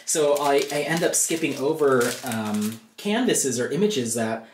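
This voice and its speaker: A woman's voice: very deep voice, animated, a little slow. A woman giving a casual, chatty unboxing commentary, thinking aloud as she goes at a craft table. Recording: roomy, echoey sound with background noise. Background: crinkling plastic bags, clicks.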